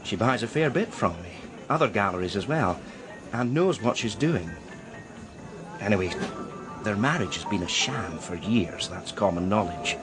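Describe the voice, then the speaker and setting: An actor playing a discreet male art dealer in a radio crime drama, speaking in a deliberate, hesitant, euphemistic way. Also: lowish voice, expressive, music in the background, natural voice. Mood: guarded, hesitant. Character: male art dealer George, heartbroken, guarded, discreet old friend.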